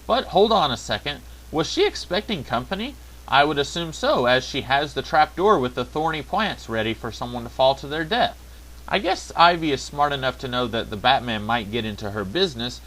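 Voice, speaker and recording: A man's voice: medium pitch, fairly bright tone, poor audio. A man narrating a scripted review, with wry, sarcastic asides. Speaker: video reviewer.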